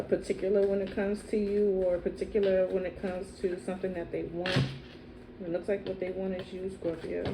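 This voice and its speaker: A woman with a low voice, doing a casual tarot reading in a soft, musing way and repeating phrases as she thinks. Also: a little hiss or hum, low-quality recording. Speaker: tarot card reader.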